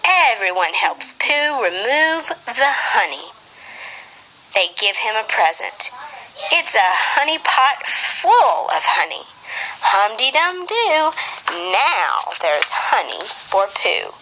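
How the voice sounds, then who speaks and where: A woman narrating a children's storybook for a puppet show in an expressive, sing-song read-aloud manner. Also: fairly high pitch, slow, slight background noise, poor audio.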